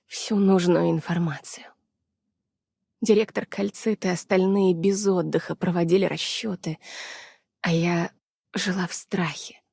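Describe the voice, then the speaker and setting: An actress voicing a weary, anxious young woman scientist from the frozen north in a game dub, soft and hesitant. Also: mid-range voice, no echo, clean studio sound. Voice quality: soft, husky, breathy. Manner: hesitant, subdued, apologetic. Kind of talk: Russian dub of game character voice lines.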